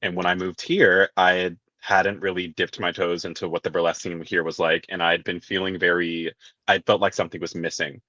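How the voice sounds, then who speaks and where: A man who is a burlesque performer, a guest on a talk show, speaking softly with shy laughs and hesitant fillers. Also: sits low, little pitch movement, fairly quick, no room sound, highs cut off. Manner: soft-spoken, laughs shyly, hesitant fillers. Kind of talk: casual interview chat.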